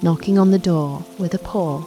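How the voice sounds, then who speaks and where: A woman narrating a children's bedtime fairy tale in a gentle scripted storytelling style. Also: on the low side, normal speed. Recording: soft lullaby music and rain behind.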